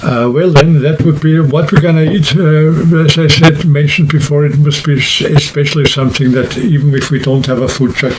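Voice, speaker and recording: A man talking casually and unscripted to camera, explaining things in a rambling, halting way like a vlogger. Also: mid-range voice, background noise. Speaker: hiking vlogger and trip organizer.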